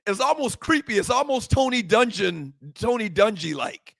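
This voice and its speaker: A man hosting a sports talk show, fervent and emphatic, repeating phrases and rising to shouts, his voice a little hoarse. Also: sits high, very animated, normal speed, no echo, studio quality. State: slightly hoarse, voice worn from shouting. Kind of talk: impassioned sports talk monologue.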